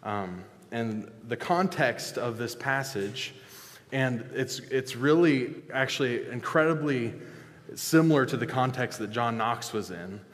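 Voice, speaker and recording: Man, pastor, casual sermon, halting with fillers, middle pitch, long hall reverb. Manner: relaxed, joking, halting with fillers. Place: church sanctuary.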